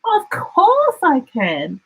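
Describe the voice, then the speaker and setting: A woman's voice: high voice, wildly swooping pitch, drawn-out words, pitched above the performer's own voice, full character voice. A woman voicing a doting elderly grandmother possum in a children's storybook, exclamatory and determined. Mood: reassuring. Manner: exclamatory and emphatic.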